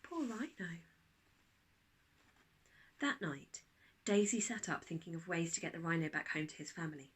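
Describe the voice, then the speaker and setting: A woman narrating a children's storybook like a teacher reading aloud, expressive, with dramatic pauses. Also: lowish voice, husky.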